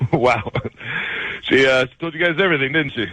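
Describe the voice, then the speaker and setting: A man's voice: mid-range voice, normal speed, bright, forceful tone, no room sound, low-quality recording. A young man with an American accent speaking casually and hesitantly as a caller on a radio show. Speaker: phone-in guest. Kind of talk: casual phone conversation.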